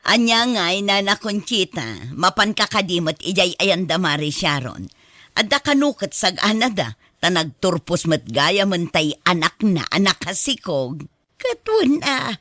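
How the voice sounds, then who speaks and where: A woman's voice: mid-range voice, pushed and bright. A woman acting a proud, outspoken middle-aged mother in a radio drama, emphatic and melodramatic, with an Ilocano accent. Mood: brisk, suspicious.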